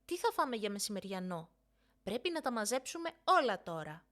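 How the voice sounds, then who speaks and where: A woman voicing a quick-witted, bossy young girl, an older sister, with an animated, insistent manner in a storybook. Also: medium pitch.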